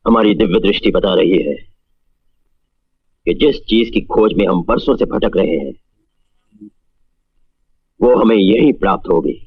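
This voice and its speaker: A man's voice: low voice, breathy edge, full character voice. A man playing a sinister, imperious snake-charmer guru, pronouncing his lines pompously in a melodramatic film spoof. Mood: solemn, commanding. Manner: pompous, ominous, drawn-out pronouncements. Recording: dull lo-fi sound with music underneath.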